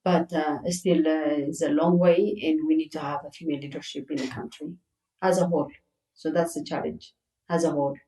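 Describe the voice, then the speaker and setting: A woman's voice: sits low, little pitch movement, brisk, dark tone, roomy sound, rough recording. A middle-aged woman from Ethiopia who runs a circus, answering interview questions earnestly and repeating words for emphasis. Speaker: circus founder and guest.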